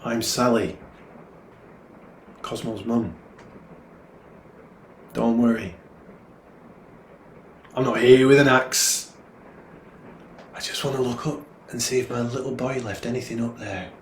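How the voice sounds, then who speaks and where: A man's voice: mid-range voice, fairly even intonation, slow, long pauses, close to an everyday voice. Man reading as a wistful northern English mum, echoey. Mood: wistful, worried. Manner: wistful, confiding. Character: worried, loving mother pleading with a tree. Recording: roomy echo, clear background noise.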